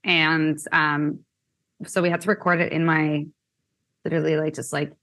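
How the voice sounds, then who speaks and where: Casting: young woman from Canada, musician guest, lower voice, rambling casual chat. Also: little pitch movement, moderate pace, a bit bright. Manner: chatty, many fillers like "like" and "um".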